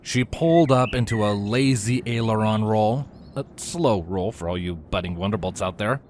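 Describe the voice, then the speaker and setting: A man narrating a story from a script in an expressive, animated way with theatrical emphasis. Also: on the low side, moderate pace, slight background noise. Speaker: audiobook-style narrator of fan fiction.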